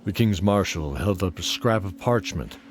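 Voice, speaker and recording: A man narrating a scripted fantasy audio drama in a deliberate, atmospheric storytelling style. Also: sits low, animated, background noise.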